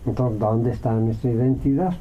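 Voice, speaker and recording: A Mexican man, a radio guest, answering at length in a reflective way, with many pauses and fillers. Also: mid-range voice, fairly even intonation, dull audio, a little hiss or hum.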